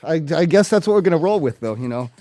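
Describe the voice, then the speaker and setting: A man with a fairly high-pitched voice rambles through a live comedy call-in show. He speaks casually and haltingly, repeating phrases as he improvises. Light music plays behind him. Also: animated, warm tone. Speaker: prank call show host.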